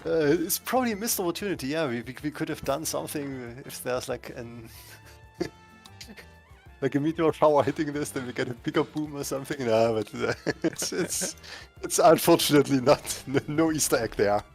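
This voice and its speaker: A man's voice: fairly high pitch, normal speed, vocal fry. Casual, chuckling man over music. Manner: informal, prone to chuckling. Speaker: game developer guest. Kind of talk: casual chat on a livestream.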